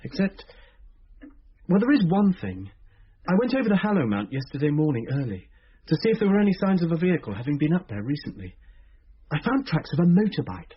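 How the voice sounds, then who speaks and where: An actor playing an earnest British friend of a detective in a radio drama, expressive and husky. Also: male voice, fairly high pitch, a little fast, slight background noise. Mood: curious, earnest. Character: earnest, eager male friend helping the detective. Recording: dull, lo-fi old radio recording.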